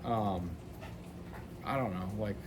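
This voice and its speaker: A man's voice: fairly low pitch, slow, noisy background. A man chatting casually and asking questions, rambling with lots of fillers. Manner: rambling, heavy use of fillers like "you know". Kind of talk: casual chat.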